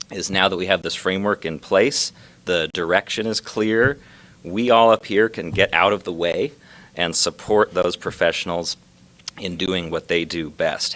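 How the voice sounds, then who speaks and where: Male official, low-pitched, reading a prepared civic statement in a measured, formal way. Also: a bit bright. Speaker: local government official at a press announcement. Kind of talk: prepared public speech.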